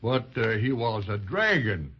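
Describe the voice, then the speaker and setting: Husky roly-poly toy policeman. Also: lowish voice, very animated, full character voice. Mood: sheepish. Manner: folksy, halting. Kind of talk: 1930s children's radio serial acting. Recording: muffled 1930s radio transcription.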